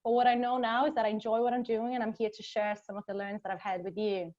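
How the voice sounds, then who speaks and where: A woman's voice: on the high side, little pitch movement, fast, a little mellow. Brazilian woman presenting on a video call. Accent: Brazilian Portuguese. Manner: upbeat, engaging the audience. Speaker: guest speaker. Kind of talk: webinar presentation. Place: her home. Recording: muffled video call audio.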